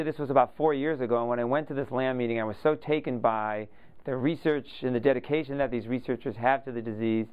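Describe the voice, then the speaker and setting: A man's voice: mid-range voice, clear, resonant tone. Earnest man scientist, tinny phone-like sound. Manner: thoughtful, earnest storytelling. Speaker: research scientist. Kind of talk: explanatory interview to camera. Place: office indoors.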